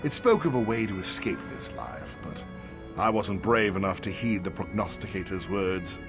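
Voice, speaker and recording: A man voicing a weary, kindly old man in a fantasy game, speaking in a measured, reflective way. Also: low-pitched, over music. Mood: wistful. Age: older. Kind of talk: AI-voiced fantasy game dialogue.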